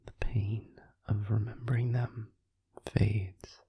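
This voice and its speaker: Man playing a low, breathy whispering vampire boyfriend. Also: little pitch movement, no echo, heavily acted. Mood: gentle, understanding. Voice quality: breathy, hushed, mostly whispered. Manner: soothing, tender, slow and reassuring. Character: gentle vampire boyfriend comforting his partner. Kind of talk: intimate whispered comfort roleplay audio. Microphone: close microphone.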